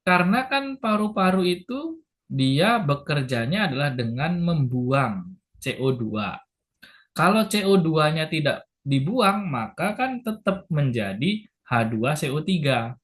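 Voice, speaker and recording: A man gives a lecture at an unhurried, deliberate pace. His voice sits fairly high for a man. He has a sore throat and repeats his key points patiently. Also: very animated, a bit bright. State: sore throat, clears throat and hiccups. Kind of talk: lecture to health workers.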